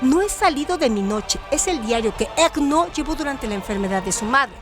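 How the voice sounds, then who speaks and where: A woman with a noticeably high voice narrates a scripted literary text. Her reading is measured and articulate, delivered as an audio storyteller. Music plays clearly behind her. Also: lively intonation. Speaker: narrator, writer and director of an audio story show. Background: background music.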